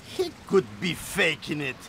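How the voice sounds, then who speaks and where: A sadistic game thug with a high, swooping voice. He drags his words slowly, a mocking bully who is a coward at heart, over a noisy background. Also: wildly swooping pitch, creaky, a bit bright, heavily acted. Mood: cruel, mocking. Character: sadistic thuggish torturer guard, mocking, then cowardly. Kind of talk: video game voice acting.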